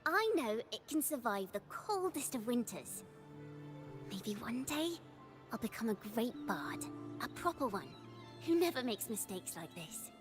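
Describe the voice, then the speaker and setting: A woman's voice: fairly high pitch, a little slow. A woman voicing a curious, earnest little girl who dreams of being a bard, in game dialogue. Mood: earnest, defiant. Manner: earnest, expressive. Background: game music.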